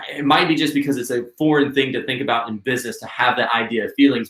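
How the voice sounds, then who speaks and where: A man's voice: medium pitch, fairly bright tone. A man in his thirties, a business coach, leading a workshop in an earnest, encouraging, conversational way. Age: 30s. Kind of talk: instructional workshop talk. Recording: roomy echo.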